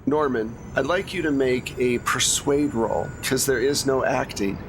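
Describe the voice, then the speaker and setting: A man's voice: medium pitch, measured pace, background noise. A man running a tabletop game as game master, narrating scenes casually and prompting players in a conversational, improvised way.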